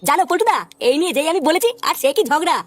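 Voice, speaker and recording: A brash young Bengali troublemaker for a comedy cartoon. The voice is extremely high and races along, slangy, foul-mouthed and spoiling for a fight. The track is clearly noisy. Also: animated, very fast. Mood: griping. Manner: coarse, combative, slangy. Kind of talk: comic cartoon dialogue.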